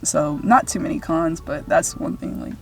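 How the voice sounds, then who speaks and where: A woman's voice: normal speed, a little mellow. Playful young woman chatting over music. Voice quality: deep-ish, slightly husky-sounding voice. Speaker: vlog co-host. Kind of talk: casual chat with a friend.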